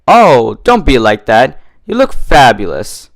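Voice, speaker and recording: A man's voice: mid-range voice, very animated, takes it slowly. A man voicing a refined, fussy female cartoon pony with an affected, dramatic manner. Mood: coaxing.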